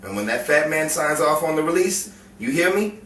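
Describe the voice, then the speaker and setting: A man's voice: fairly high pitch, very animated, normal speed, fairly bright tone. A man playing a cocky, menacing gangster boyfriend with slangy tough-guy talk in a 1950s crime melodrama. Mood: smug, threatening. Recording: roomy, distant mic, faint noise.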